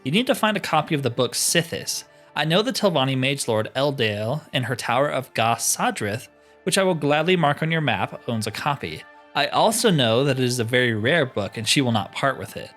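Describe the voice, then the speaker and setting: A man's voice: mid-range voice, lively intonation, brisk, fairly bright tone, soft background music, natural voice. A man reading the part of a haughty, scheming wise woman in a video game, imperious and slyly suggestive.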